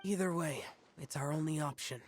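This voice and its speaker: A man's voice: on the high side, moderate pace, a little hiss or hum, natural voice. A man playing an aloof, solemn, youthful demon-slaying guardian in an anime-style game, terse and formal. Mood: solemn, detached.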